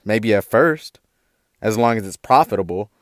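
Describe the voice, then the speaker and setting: A man's voice: lowish voice, expressive, normal speed, dry recording, studio quality, natural voice. A man playing a defiant eighteen-year-old activist in a radio drama, sarcastic and argumentative. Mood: defiant, accusing.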